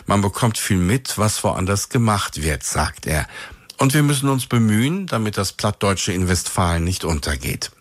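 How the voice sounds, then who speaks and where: A man hosting a radio show, narrating clearly and evenly from a script. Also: on the low side, animated, moderate pace, no room sound. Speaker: radio host.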